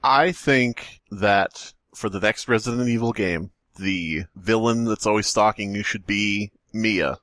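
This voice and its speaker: A man's voice: fairly low pitch, measured pace, hardly any pauses, fairly bright tone, no echo. A man co-hosting a casual podcast discussion, joking and blunt, with casual swearing. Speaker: podcast co-host.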